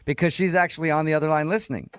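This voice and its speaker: A man hosting a radio show with lively, teasing, jokey banter. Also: fairly high pitch, racing, background noise. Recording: music bed behind. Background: music.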